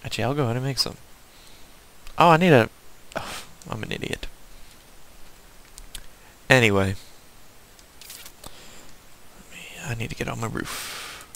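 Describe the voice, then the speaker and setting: Male gaming host, Southern US accent, mid-pitched, casual and rambling, talking to himself while playing. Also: expressive, unhurried, gravelly, pauses often, fairly bright tone, slight background noise, rough recording. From southern United States. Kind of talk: casual unscripted gameplay commentary.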